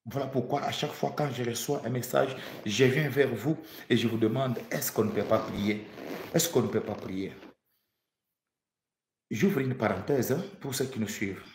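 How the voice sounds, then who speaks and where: A fervent man from the Democratic Republic of the Congo delivers a prophetic sermon, driving points home by repeating them. His pitch sits mid-range for a man, and his voice rings around a reverberant room. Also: moderate pace, a little hiss or hum. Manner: fervent, repeats phrases for emphasis, stammers on restarts. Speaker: prophet, preacher.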